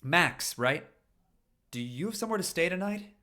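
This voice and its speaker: A man's voice: a little high, lively intonation, moderate pace, bright, forceful tone, voice pushed up. A man reading a story and speaking as a caring, level-headed uncle, practical and firm though a little awkward. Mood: firm concern.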